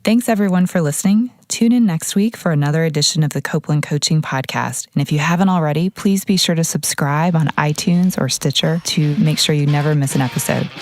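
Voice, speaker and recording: A woman's voice: low voice, brisk, few pauses, a little mellow, dry recording. A woman hosting a conversational interview in an affirming, encouraging way. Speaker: podcast host, career coach.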